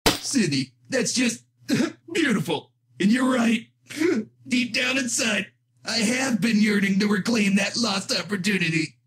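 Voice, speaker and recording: A man's voice: high voice, expressive, a little slow, noisy background. A man voicing a flamboyant, manic masked trickster with theatrical, gleeful teasing in a comic book dub. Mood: gushing. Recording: loud music bed behind the voice.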